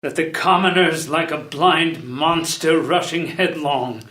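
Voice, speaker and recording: A man's voice: a little high, a little slow, sharp tone. An actor playing a humble, loyal old counselor to a king, theatrically, in a classical drama reading. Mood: breathless loyalty. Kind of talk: unrehearsed table read of classical verse drama. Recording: echoey room.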